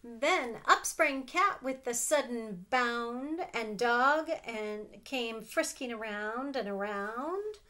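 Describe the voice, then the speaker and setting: A middle-aged woman reading a picture book aloud to children, expressive and sing-song, with casual asides. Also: fairly high pitch, takes it slowly, few pauses. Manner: expressive, sing-song, asking the listeners questions. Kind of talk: animated read-aloud storytelling with casual asides. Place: small room at home with sloped ceiling.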